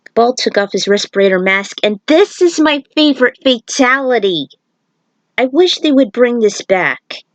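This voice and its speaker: A woman's voice: fairly high pitch, animated, normal speed, no room sound. A woman reading her own fan fiction aloud, breaking into chatty, casual asides about it. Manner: chatty, self-commenting asides.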